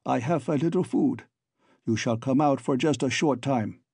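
A man doing a calm, patronizing giant. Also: medium pitch, moderate pace, slightly rough, no echo, studio quality. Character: giant calm male scientist captor.

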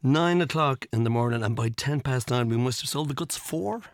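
An actor playing a dim, sincere small-time singer-songwriter as an interview guest in a comic radio play, halting and laid-back. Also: male voice, medium pitch, dry recording, studio quality, natural voice.

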